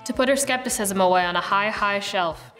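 An arch, knowing narrator, played by a woman, wry and literary, with a mid-pitched voice. Music plays clearly beneath the radio-play narration. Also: fairly quick, fairly bright tone, lightly acted.

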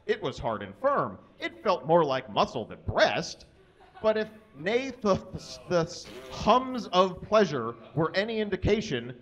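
A man in his thirties giving a comic live reading of fan fiction on a small stage, deliberately tripping over the mistakes in the text. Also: a little high. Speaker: live reader. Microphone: stand microphone on stage, filmed from a distance. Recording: dull, muffled live stage recording.

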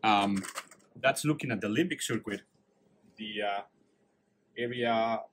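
A man's voice: on the low side, fairly bright tone, slight background noise. Enthusiastic middle-aged neurosurgeon man. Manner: enthusiastic, praising, halting with fillers. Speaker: neurosurgeon giving a lab tour. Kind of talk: casual guided tour. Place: anatomy lab.

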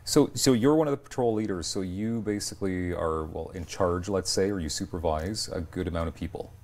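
A man's voice: low voice, brisk, a little mellow. A man in his forties hosting a television talk show and interviewing guests conversationally. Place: TV studio, indoors.

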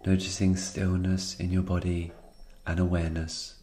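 A man guiding a meditation in a slow, calm and soothing narration, leaving unhurried pauses between phrases. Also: low voice, a little hiss or hum. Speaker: guided meditation instructor.

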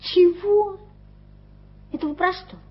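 An actress playing a stubborn, streetwise street girl in a radio play, talking bluntly and roughly. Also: very high-pitched, takes it slowly. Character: street girl Tommy, scrappy stubborn waif. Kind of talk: 1980s studio radio play acting. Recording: dull old radio recording.

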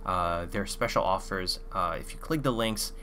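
A young man talks straight to camera on a desk mic, loose and rambling, leaning on filler words. His voice sits a little low but sounds bright and forward. A faint hum runs under the recording. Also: moderate pace. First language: French. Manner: loose, filler-heavy, with many "like" and "you know". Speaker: language-learning YouTuber. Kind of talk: casual review to camera. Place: small room indoors.

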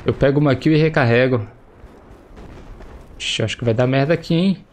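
Brazilian guy streaming a shooter, still kinda sick, chill chatty slang, low gunfire in back. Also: brisk, a little mellow, a little hiss or hum. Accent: Brazilian Portuguese. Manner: chatty, slangy, exclaims at the action. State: recovering from a cold, voice slightly affected. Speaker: gameplay streamer. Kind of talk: casual gameplay commentary. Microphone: headset. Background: faint game gunfire and explosions.

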